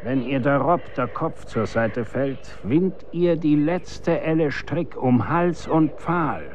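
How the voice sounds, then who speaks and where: An actor in a German radio drama playing a stern, grave executioner instructing his son in commanding, archaic, measured diction. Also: a little high, a little mellow, muffled recording, a little hiss or hum.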